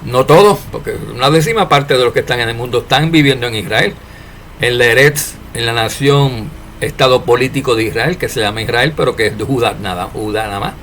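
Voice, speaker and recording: A man preaching an informal sermon to his followers, asking rhetorical questions and swinging between loud and soft. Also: medium pitch, brisk, a little hiss or hum. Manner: preachy, rhetorical questions, animated rises and drops in loudness.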